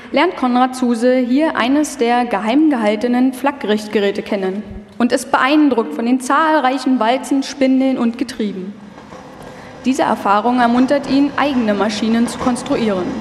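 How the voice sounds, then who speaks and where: A woman's voice: fairly high pitch, moderate pace. A woman reading scripted documentary narration as the narrator of a live radio feature. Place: live stage performance in a hall. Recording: echoey hall, long reverb.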